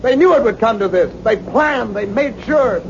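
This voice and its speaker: An agitated high-voiced Canadian assistant. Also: male voice, expressive, normal speed, gravelly, exaggerated voice. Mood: strained, hopeless. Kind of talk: sci-fi radio play acting. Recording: muffled, very noisy radio drama recording.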